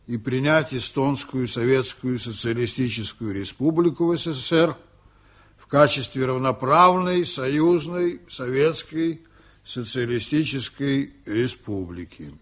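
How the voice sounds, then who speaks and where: An actor playing a stern, composed ship's captain in a Soviet radio play, speaking formally and deliberately with command. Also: male voice, mid-range voice, fairly bright tone.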